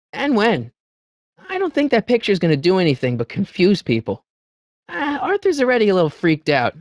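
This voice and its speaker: A man's voice: high voice, dry recording, clean studio sound. A male actor playing an earnest, wisecracking teenage boy in a video game, with a youthful voice and a hesitant, slangy delivery. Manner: hesitant, stammering asides, wry slangy delivery. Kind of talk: video game character lines.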